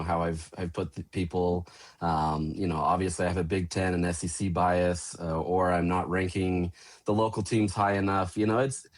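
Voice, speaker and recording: A man speaking as a sportswriter guest in a casual interview, easygoing and full of you-knows. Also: low voice.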